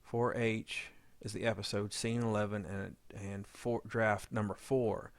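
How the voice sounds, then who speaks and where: A man giving an informal editing tutorial, talking haltingly as he thinks aloud while working. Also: fairly low pitch, level tone, no echo. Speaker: audio drama editor giving a tutorial. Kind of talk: informal software walkthrough.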